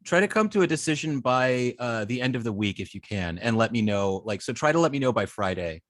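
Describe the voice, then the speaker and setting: Male instructor, informal and halting, mid-pitched, very little room sound. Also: lively intonation, no room sound, studio quality. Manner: hesitant, halting, often repeats words. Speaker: web design class instructor. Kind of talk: informal online teaching and student check-ins.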